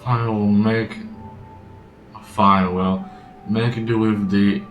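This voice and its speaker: Halting, slow-talking male game streamer. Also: on the low side, level tone, reverberant, lo-fi sound, faint music behind. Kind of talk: casual gameplay commentary.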